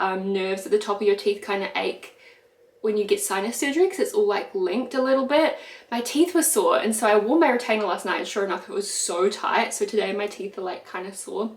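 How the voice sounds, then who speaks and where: Young NZ woman, congested nasal voice, casual filler-heavy chat, echoey kitchen. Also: mid-range voice. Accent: New Zealand. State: congested, stuffy nose. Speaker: vlogger. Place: home kitchen. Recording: echoey room.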